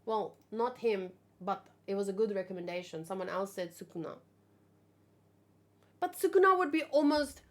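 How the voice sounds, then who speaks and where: Female streamer, high-pitched, animated, rambling, informal live chat. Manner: animated, exclamatory, rambling asides. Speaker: streamer hosting a manga read-along. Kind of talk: casual live chat, thinking aloud.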